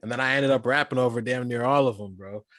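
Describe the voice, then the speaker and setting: A man's voice: medium pitch, fast talker, fairly bright tone, no echo, studio quality. A man from Guyana, a rapper co-hosting a podcast, telling stories and asking questions in an easygoing, slangy way. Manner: easygoing, chatty, slangy storytelling. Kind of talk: casual podcast interview.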